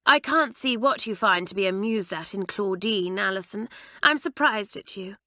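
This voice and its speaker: A woman's voice: medium pitch, lively intonation, moderate pace, pushed and bright, no room sound, studio quality. A woman voicing a haughty, spiteful English schoolgirl, sniffy and disdainful. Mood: scornful.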